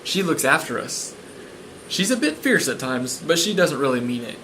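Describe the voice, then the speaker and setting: A man's voice: a little high, sing-song, normal speed, bright, forceful tone, a little hiss or hum, low-quality recording. A man voicing a kind, reassuring young girl.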